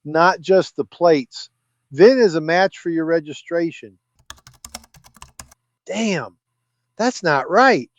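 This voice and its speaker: Brusque cop, high swooping pitch, impatient, audio drama, muffled sound. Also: male voice, lively intonation, slow pace, pauses often. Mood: impatient, suspicious. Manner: brusque, exasperated. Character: gruff, impatient, exasperated male police officer.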